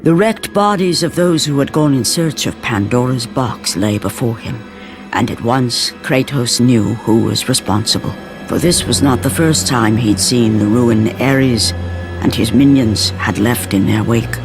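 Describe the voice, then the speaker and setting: An actor playing a grave, portentous storyteller of ancient Greek legend, narrating video game cutscenes in a measured, solemn way. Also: male voice, mid-range voice, natural voice. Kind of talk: scripted dramatic game narration. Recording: narration over loud cinematic music.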